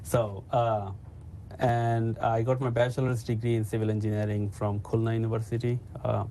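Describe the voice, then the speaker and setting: A man's voice: on the low side, flat delivery, moderate pace, warm tone, a little hiss or hum. A man from Bangladesh introducing himself in a hesitant way, restarting words and using fillers. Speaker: engineer. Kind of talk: unscripted self-introduction.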